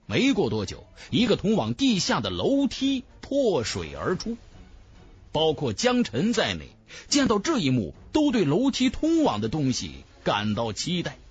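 Male audiobook narrator, highly varied intonation, dramatic scripted delivery, faint music. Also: wildly swooping pitch, unhurried, slightly rough, dull audio.

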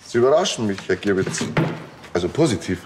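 A man's voice: on the low side, sing-song, fairly quick, noisy background. Wry, sardonic tech guy. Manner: dry, sardonic. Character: wry, tech-savvy male workshop helper.

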